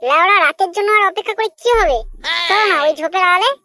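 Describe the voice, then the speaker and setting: Squeaky, fast, lustful cartoon lover. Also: male voice, dry recording, full character voice. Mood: coaxing. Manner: crude, flattering. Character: lustful, scheming young village lover, sweet-talking and crude. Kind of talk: comic cartoon dialogue. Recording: loud children's music behind. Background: children's music.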